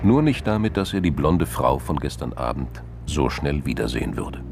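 A man with a gravelly voice reading scripted narration for a crime radio drama. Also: rumbling low, big pitch swings, moderate pace, background noise.

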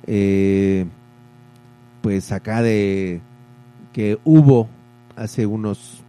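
A man hosting a community radio newscast, reading a statement and presenting in a relaxed, informal way. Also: on the low side, extremely slow, clear, resonant tone. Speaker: community radio news host.

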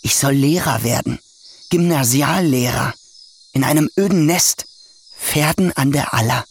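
A man's voice: mid-range voice, a little slow, vocal fry, breathy edge. An actor playing a bitter young nephew who is in debt and hates his prospects, talking in a sullen, brooding way in a radio play. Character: bitter young male nephew, indebted and resentful.

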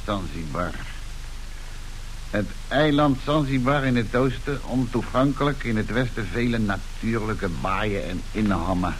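An actor playing an adventurer's dry, practical sidekick in a radio play, with a deadpan delivery. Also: male voice, lowish voice, a little slow, slight background noise, rough recording. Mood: matter-of-fact.

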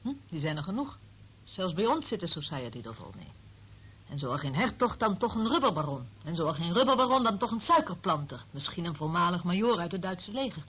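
A woman's voice: on the low side, big pitch swings, fairly quick, slightly rough, exaggerated voice. An actress playing a cynical female eavesdropper in a radio play, sardonic and theatrical, relishing what she knows. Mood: scornful. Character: cynical, gloating female eavesdropper of a secret service. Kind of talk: radio drama acting. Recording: narrow tinny old radio recording, faint noise.

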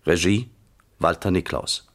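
A man reading credits as a radio play announcer in a formal, measured 1970s broadcast style. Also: deep voice, fairly bright tone.

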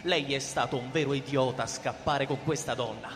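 An actor playing a cold, condescending military agent, the ruthless villain of a radio thriller. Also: male voice, mid-range voice, fairly quick, fairly bright tone, lightly acted. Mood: condescending, brisk. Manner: menacing, condescending. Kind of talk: theatrical radio drama dialogue. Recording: long reverberant hall sound.

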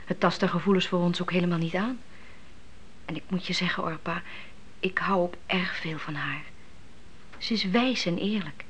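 An actress playing a wise, devout young wife in a biblical radio play, thoughtful, earnest and reassuring. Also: female voice, fairly low pitch, moderate pace, a little hiss or hum, natural voice. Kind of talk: Dutch radio play acting.

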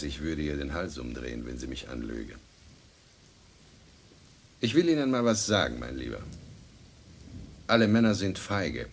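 An actor playing a menacing, cynical man in a radio play, husky, insinuating and condescending as he probes. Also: low voice.

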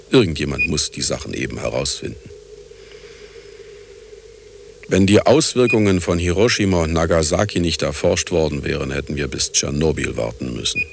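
An actor playing a cynical, self-justifying scientist and husband in a radio play, sardonic and condescending, with a gravelly voice. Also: male voice, low-pitched, slight background noise. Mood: sardonic, brooding. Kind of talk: radio play acting, monologue and dialogue.

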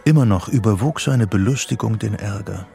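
An actor narrating a psychological radio drama in a measured, detached literary style. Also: male voice, lowish voice, vocal fry, dark tone, soft background music.

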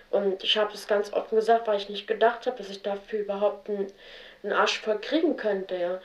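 A young woman telling about her childhood in a halting, spontaneous way, often with fillers and restarts. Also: medium pitch, darkish tone. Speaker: interviewee. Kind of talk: spontaneous personal account. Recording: roomy, dull 1970s radio tape.

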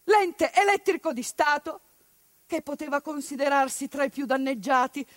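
Give me a woman delivering a fierce theatrical monologue in a high, dry voice. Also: normal speed, no room sound. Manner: impassioned, with sudden outbursts and hushed asides. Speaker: stage actress.

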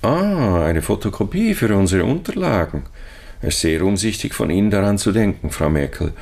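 An actor playing a courteous, discreet, middle-aged bank adviser in a radio crime drama, speaking formally and obligingly. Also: male voice, fairly low pitch, big pitch swings, normal speed, gravelly, a little mellow, exaggerated voice. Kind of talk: German radio drama acting.

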